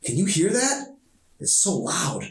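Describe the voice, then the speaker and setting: A man's voice: fairly high pitch, wildly swooping pitch, slow, voice pushed up, heavily acted. A man voicing an anxious, defensive, awkward teenage boy from a novel, in an echoey recording. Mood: uneasy. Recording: echoey room, distant mic.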